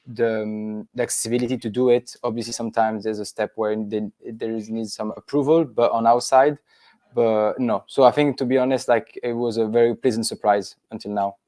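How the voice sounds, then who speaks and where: A man's voice: on the low side, fairly even intonation, fairly quick, a little mellow, no room sound. A man from Switzerland, a business co-founder, sharing rambling anecdotes in a webinar discussion.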